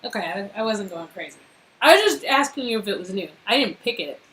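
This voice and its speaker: A woman's voice: medium pitch, expressive, a little fast, a bit bright, husky, rough recording. A woman chatting casually and playfully as she reacts to a story game she is playing with a co-host. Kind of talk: casual banter during a game playthrough.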